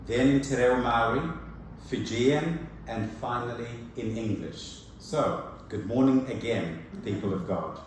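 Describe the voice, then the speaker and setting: A man whose first language is Shona, giving a sermon as a preacher, measured and earnest, with slow emphatic phrases. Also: mid-range voice, a little hiss or hum. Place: church hall. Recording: echoey church from far off.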